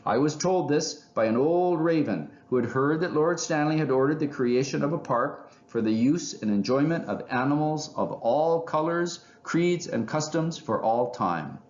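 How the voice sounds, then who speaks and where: A man's voice: fairly high pitch, lively intonation, moderate pace, few pauses, a little mellow, roomy sound, lo-fi sound, pitched above the performer's own voice, close to an everyday voice. Man playing a pompous old owl lecturer, theatrically swooping. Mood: commanding, dramatic. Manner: commanding, dramatic flair, oratorical. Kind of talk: children's storybook character reading.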